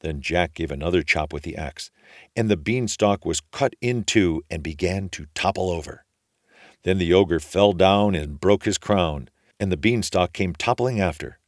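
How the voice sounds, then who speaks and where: A man reading a children's story aloud as an expressive storyteller. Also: low voice, normal speed, no room sound, clean studio sound.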